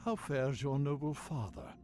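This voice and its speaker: An actor playing a dignified, stern old archmage in a fantasy video game, speaking with grave formality. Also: male voice, medium pitch, normal speed, over music, close to an everyday voice. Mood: cool disdain. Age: older.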